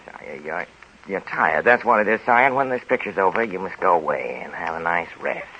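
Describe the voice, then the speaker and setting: An actor playing a blustering yet fawning movie producer, stammering and repeating himself in a 1930s radio comedy. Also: male voice, deep voice, expressive, a little fast, bright, forceful tone, highs cut off, exaggerated voice. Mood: soothing, hesitant. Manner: flustered, stammering, repetitive. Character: blustering movie producer, fawning and placating toward his star. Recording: noisy 1930s radio recording.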